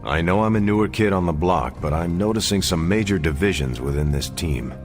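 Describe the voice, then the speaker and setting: An actor playing an earnest, upright soldier superhero in a video game, sincere and encouraging. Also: male voice, sits low, animated, a little fast. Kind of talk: video game cutscene acting. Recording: faint music behind.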